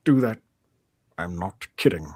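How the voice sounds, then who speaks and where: A man's voice: low voice, lively intonation, measured pace, mellow, slightly rough, no echo, heavily acted. A man playing a grumpy, disdainful veteran actor with a curt, dry delivery in a live script read. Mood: irritated.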